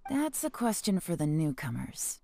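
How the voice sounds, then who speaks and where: An actress playing a shrewd, composed female spy investigator in a game, speaking in a calm, measured way. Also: sits low, creaky, no echo, heavily acted. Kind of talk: game voice acting.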